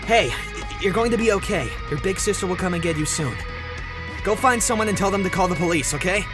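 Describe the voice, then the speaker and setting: A man's voice: sits high, expressive, fairly quick, loud music behind the voice. A young man playing Sam, an impulsive, kind everyman turned furry superhero, in a fan audio drama. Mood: reassuring.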